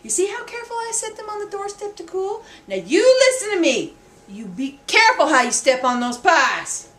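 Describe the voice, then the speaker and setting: Woman putting on a shrill exasperated mother, loud folksy scolding, soaring high pitch, roomy echo, faint hum. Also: big pitch swings, moderate pace, heavily acted. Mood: stern warning. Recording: echoey room, faint background noise.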